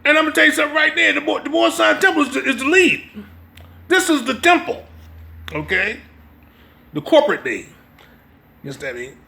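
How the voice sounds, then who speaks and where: A man's voice: very high voice, big pitch swings, brisk, vocal fry, pauses often, bright, forceful tone. An American man giving an impromptu sermon as a religious teacher, emphatic and exhortative, often checking that listeners understand. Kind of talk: impromptu sermon with brief reading.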